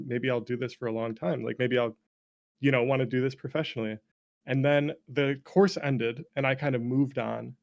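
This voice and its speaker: A man's voice: medium pitch, creaky. A man around thirty with a North American accent telling stories casually as an interview guest, self-deprecating, swearing freely and laughing easily. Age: late 20s to early 30s. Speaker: interview guest, game developer. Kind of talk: casual conversational storytelling.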